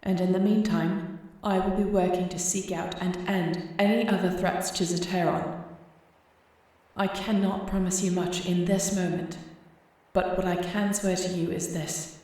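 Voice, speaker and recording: A woman's voice: lowish voice, steady tone, unhurried, warm tone, very echoey, lightly acted, poor audio. A woman playing a newly crowned young dragon shifter queen in an audio roleplay, speaking formally and earnestly. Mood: solemn, earnest. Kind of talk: scripted audio roleplay acting.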